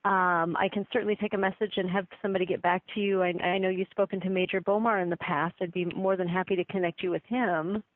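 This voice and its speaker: A woman's voice: medium pitch, steady tone, quick pace, few pauses, dry recording. A woman working as an office assistant, handling a business call in a polite, apologetic and deferential way over the telephone. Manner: deferential, apologetic, formal courtesy. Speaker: receptionist or office assistant taking calls. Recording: tinny band-limited phone line.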